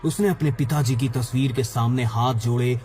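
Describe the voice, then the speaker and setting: A man's voice: medium pitch, fairly even intonation, moderate pace, dark tone. A man narrating a scripted horror story for a cartoon. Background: background music.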